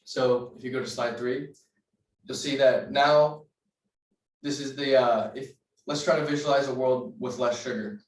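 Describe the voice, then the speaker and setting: A man's voice: mid-range voice, steady tone, unhurried. A young man giving an earnest persuasive class speech, citing figures with occasional restarts. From United States. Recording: roomy sound from a distant mic.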